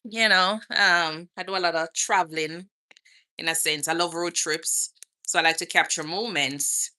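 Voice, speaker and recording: Jamaican woman hosting a live chat outdoors, lowish pitch, bright tone, friendly and chatty. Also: fairly even intonation, normal speed. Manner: chatty, greets viewers by name. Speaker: vlogger and nurse. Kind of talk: casual livestream Q&A.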